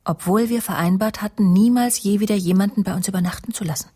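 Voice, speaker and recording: An actress playing a bitter middle-aged woman who confides in a measured, often murmuring voice in a German radio crime drama. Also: medium pitch, warm tone, slightly rough, close to an everyday voice. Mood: confiding, bitter. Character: bitter middle-aged woman narrating her jealous confession.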